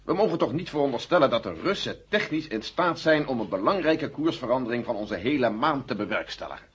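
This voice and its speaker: A man in a radio play acting a husky-voiced, sceptical, sardonic army general. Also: medium pitch, lively intonation, brisk. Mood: indignant, sceptical. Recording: dull old radio play recording.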